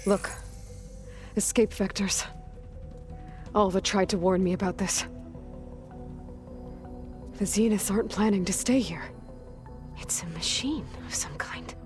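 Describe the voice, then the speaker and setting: A woman playing a determined young warrior in a video game, earnest and guarded, often dropping into a hushed, intent delivery. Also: mid-range voice, a little slow, pauses often, lightly acted. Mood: hushed alarm. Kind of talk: video game cutscene acting. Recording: background music.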